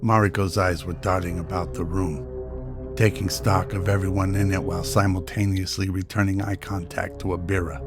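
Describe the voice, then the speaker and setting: Deep-voiced male radio drama narrator. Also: flat delivery, moderate pace, loud music behind the voice.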